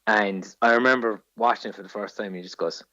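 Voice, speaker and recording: A man's voice: fairly low pitch, fast, a bit bright, no echo, lo-fi sound. A man co-hosting a podcast, casually telling film anecdotes with swearing and acted-out quotes. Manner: sweary storyteller, acts out quotes. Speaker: podcast co-host.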